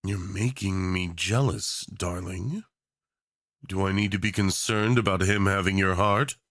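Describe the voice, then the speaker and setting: A man's voice: low voice, unhurried, fairly bright tone, no echo, clean studio sound, voice pushed down. A man playing a charming, obsessive tyrant in a romantic villain roleplay, drawling theatrically with mock politeness, flirtation and playful menace. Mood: jealous, then cheerful.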